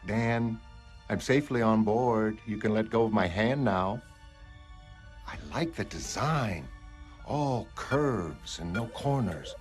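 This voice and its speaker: A man's voice: fairly low pitch, expressive, slow pace. Man voicing a frank, wry woman, music behind. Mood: teasing, curious. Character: adult woman, frank, curious, wry former costumed heroine. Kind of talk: motion comic character acting.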